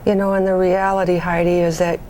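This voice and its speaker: A woman plays a supportive bereavement counselor in a phone conversation. Her voice is mellow and slightly low with little rise and fall, measured and reassuring, over faint background noise. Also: flat delivery, close to an everyday voice. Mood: calm, reassuring.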